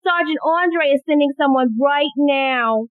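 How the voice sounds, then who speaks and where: Thin, phone-like audio with no room sound. An actress plays an anxious young woman, a police secretary in an audio drama, eager and urgent in an extremely high voice. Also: unhurried, dry recording. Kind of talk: audio play acting.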